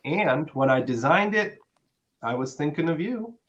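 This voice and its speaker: A man acts an affectionate boyfriend who gently presses his case in a play reading. His pitch is very lively, his delivery conversational and hesitant, and the audio is a bit muffled and lo-fi. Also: measured pace. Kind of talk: naturalistic dramatic script reading.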